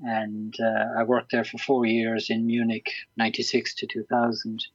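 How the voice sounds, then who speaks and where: An Irish man in his sixties chatting as an interview guest, hesitant and full of ums and restarts. His voice sits at an ordinary pitch. The sound is dull and lo-fi, with a dry, close feel. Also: monotone, moderate pace, husky, dry recording. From Ireland. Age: 60s. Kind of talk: casual interview chat.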